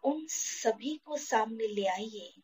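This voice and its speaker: A woman from India guiding a meditation, in short, measured phrases with pauses between them. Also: on the high side, expressive, darkish tone, a little hiss or hum. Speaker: guided meditation teacher. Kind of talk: slow guided meditation. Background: background music.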